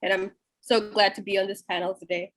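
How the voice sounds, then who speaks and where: A woman's voice: mid-range voice, level tone, brisk, no room sound. A young woman, speaking conversationally as a writer on an online panel. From Zimbabwe. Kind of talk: conversational self-introduction on a panel. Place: online video call.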